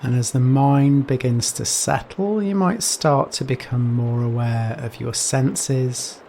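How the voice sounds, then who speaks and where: A man leading a guided meditation in a gentle, soothing manner, leaving long pauses between phrases. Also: medium pitch, gravelly. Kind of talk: guided Yoga Nidra meditation. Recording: steady rain noise behind voice. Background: rain sounds.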